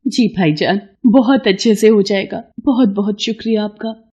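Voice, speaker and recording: A woman voicing a humble, poor widowed mother in a cartoon story, speaking gently, politely and plaintively. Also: medium pitch, moderate pace, slightly rough, highs cut off, natural voice. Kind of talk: cartoon moral story acting.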